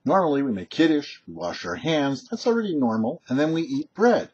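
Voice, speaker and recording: A man who is a rabbi reads a prepared religious lesson in a measured, explanatory way with emphatic pauses. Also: on the high side, sing-song, darkish tone, husky, muffled recording. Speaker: rabbi hosting a weekly Torah teaching show. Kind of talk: prepared religious teaching, read aloud.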